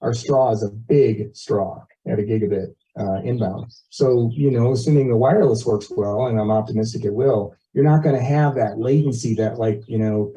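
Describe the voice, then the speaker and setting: A man's voice: on the low side, warm tone. Muffled man explaining things at a meeting. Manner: folksy, explanatory, uses plain comparisons. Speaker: community board member presenting at a meeting. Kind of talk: informal explanatory presentation to neighbours, with questions. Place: neighbourhood meeting, relayed over video call. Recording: muffled, distant microphone, meeting audio.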